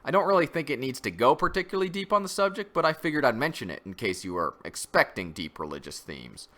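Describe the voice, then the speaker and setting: A man's voice: medium pitch, very animated, quick pace, pushed and bright. A man giving an opinionated, conversational review commentary, critical and semi-scripted. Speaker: host of a comic review channel.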